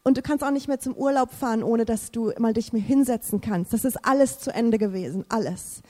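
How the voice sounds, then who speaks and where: A woman's voice: fairly high pitch, fairly quick, mellow, big-room sound. A woman, not a native German speaker, giving an emotional faith testimony, fervent and repetitive, sometimes searching for words. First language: English.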